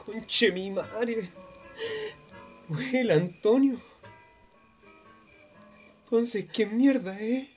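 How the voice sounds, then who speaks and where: A woman's voice: fairly high pitch, drawn-out words, long pauses, music in the background. A woman with a Chilean accent acting an urgent, pleading, frightened young friend in a radio drama. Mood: hushed dread. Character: frightened young friend who saw the devil.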